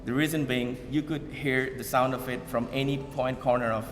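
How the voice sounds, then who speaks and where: A man from Bangladesh talks to an audience in a loose, unscripted way. He stops and restarts often and leans on fillers. His voice sits at a middle pitch and carries a long hall reverb. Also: fairly even intonation, a little hiss or hum. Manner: halting, many fillers and repeated starts. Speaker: community speaker. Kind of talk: informal public talk to an audience. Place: event hall.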